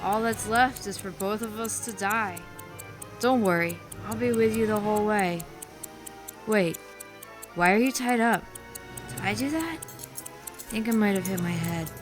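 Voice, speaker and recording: A woman's voice: medium pitch, measured pace, long pauses, soft background music, close to an everyday voice. A woman playing a sardonic, theatrical villainess with a grandly dramatic, mocking manner. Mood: grandly menacing. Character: sardonic, theatrical female villain, breezily menacing.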